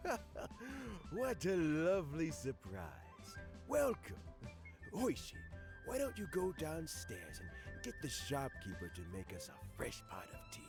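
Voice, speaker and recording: An actor voicing a courteous, wry old statesman in a video game, speaking in a formal, measured, grandfatherly way. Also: fairly high pitch, wildly swooping pitch, music in the background, full character voice. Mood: pleased, welcoming. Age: older.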